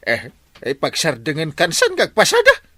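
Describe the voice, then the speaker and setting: A man with an Ilocano accent playing an excitable, blustering village captain full of exclamations in a comedy radio drama. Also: on the high side, full character voice. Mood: stern, threatening. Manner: exclamatory, full of drawn-out interjections. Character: excitable comic male village captain, blustering and easily swayed.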